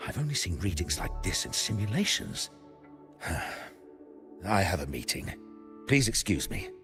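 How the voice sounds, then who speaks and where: A male professor from an anime-style game with a deep voice and lively intonation. He sounds grave, measured and authoritative, carrying a heavy responsibility. Music plays clearly behind him. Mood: resolute, weary. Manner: serious, measured.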